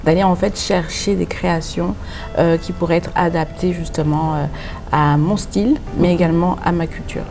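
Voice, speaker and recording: A woman's voice: fairly low pitch, steady tone, normal speed, over music, poor audio. A woman from France with a French accent, a designer and boutique founder answering interview questions earnestly, with many hesitations as she explains at length. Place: pop-up boutique.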